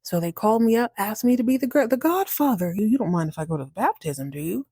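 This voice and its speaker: A sly storybook tomcat, acted out by a woman storyteller with a mellow tone. He talks in glib, excitable slang and gets defensive easily. The sound is dry, without room echo. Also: medium pitch, fast talker, husky. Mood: excited, coaxing. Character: sly male cat, breezy, defensive fibber. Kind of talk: casual storybook character dialogue.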